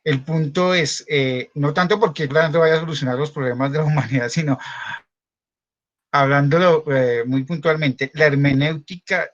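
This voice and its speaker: A man's voice: fairly high pitch, normal speed. A man asking a question in an academic seminar, speaking earnestly and searching for words, over a video call. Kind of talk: academic discussion. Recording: dull, lo-fi call audio.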